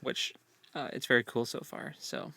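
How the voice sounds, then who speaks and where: A man's voice: medium pitch, steady tone, moderate pace, bright, forceful tone, no room sound. Informal man with dry, close sound. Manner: informal, meandering, repeats words. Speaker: co-host of a book discussion show. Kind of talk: casual book discussion chat.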